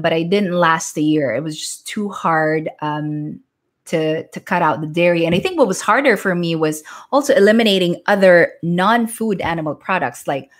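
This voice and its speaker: Low-voiced woman chatting frankly. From Philippines. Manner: chatty, frank, restarts sentences, laughs lightly. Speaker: yoga teacher. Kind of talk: casual live-stream talk to viewers.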